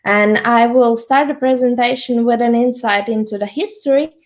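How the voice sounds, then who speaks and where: A young woman with a Latvian accent gives an online presentation, pausing often with fillers. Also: on the high side. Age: young adult. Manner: hesitant, many fillers. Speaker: student lecturer.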